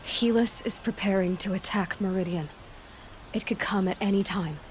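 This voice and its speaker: A woman's voice: medium pitch, normal speed, slight background noise, lightly acted. A young woman playing a resolute huntress warrior in a video game, speaking in a direct, grave and determined way. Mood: grave, urgent. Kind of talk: video game cutscene acting.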